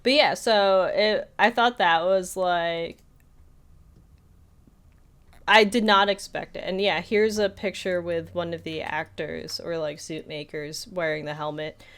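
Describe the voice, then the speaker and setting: A woman's voice: on the low side, steady tone, a little slow, fairly bright tone, clear, resonant tone. A woman co-hosting a podcast, chatting casually about film in a rambling way with lots of "like". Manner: rambling, peppers talk with "like". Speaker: podcast co-host. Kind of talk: casual film discussion chat.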